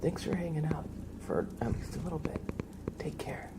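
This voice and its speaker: A man livestreaming ASMR, chatting softly and playfully and often dropping into whispers. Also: fairly high pitch, normal speed. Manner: playful, mock-serious, doting talk about and to a pet. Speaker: ASMR live streamer.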